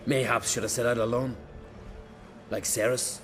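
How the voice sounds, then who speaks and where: An actor playing a bold young island warrior and heir in a video game, talking in clipped, hearty, folksy phrases. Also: male voice, medium pitch, level tone, normal speed, a little hiss or hum, natural voice. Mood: rueful, earnest. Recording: game soundtrack music behind.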